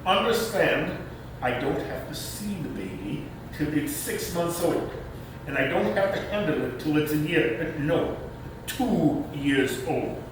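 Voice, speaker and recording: Timid, proper uncle in a stage comedy played by a man, halting and slow, distant roomy recording. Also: big pitch swings. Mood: grandly resigned. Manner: hesitant, formal, halting. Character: timid, reluctant, proper uncle who dreads babies. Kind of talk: stage readers' theater acting. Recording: roomy, distant microphone with rumbling noise.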